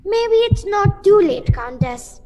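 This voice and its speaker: A woman playing a proper, nervous but defiant village mother in an amateur radio play, speaking in a halting, deliberate way. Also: very high-pitched, very animated, dark tone, a little hiss or hum, heavily acted. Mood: grave, defiant.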